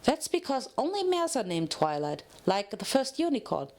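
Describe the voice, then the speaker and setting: Bouncy female cartoon-style pony played by a woman reader, chatty and affectionate, pitched a little high. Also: big pitch swings, moderate pace, a little mellow. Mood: perky, chatty. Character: bouncy, cheerful, clumsy female pink pony inmate. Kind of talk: dramatic fan fiction reading.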